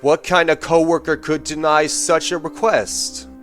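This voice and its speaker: A man voicing a smug, slow-talking scheming agent. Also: a little high, level tone, fairly bright tone, soft background music. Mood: smug formal. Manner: overly formal, smug, measured. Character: scheming, smug adult male secret agent posing as barista. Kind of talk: fan comic dub voice acting.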